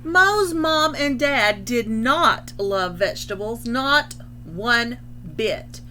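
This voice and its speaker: Lady school librarian doing a kids read-aloud, bright highish voice, explains stuff, bit of hum in the background. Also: expressive, faint music behind. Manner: explanatory, pausing to define words. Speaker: school librarian reading a picture book aloud. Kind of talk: instructional read-aloud for young children.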